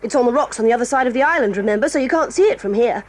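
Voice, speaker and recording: An actress voicing a proud, quick-tempered tomboy girl in an audio drama, talking in a brisk, bossy and eager way. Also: on the high side, lively intonation. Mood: excited, lively. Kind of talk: 1980s audio drama acting.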